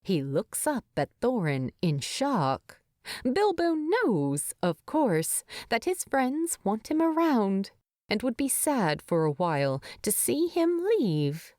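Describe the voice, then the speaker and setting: A woman reading a story as an audiobook narrator, expressive and dramatic, close to the microphone. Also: on the high side, takes it slowly, no room sound. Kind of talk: expressive scripted fiction narration.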